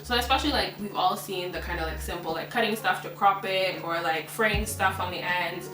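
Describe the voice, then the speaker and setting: A woman's voice: fairly low pitch, a little fast. A woman in her twenties from Ghana, raised in Kenya and Uganda, hosting her channel in a casual chat and saying "like" often. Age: 20s. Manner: chatty, fills speech with "like". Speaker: channel host. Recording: roomy echo, distant mic, background music. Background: background music.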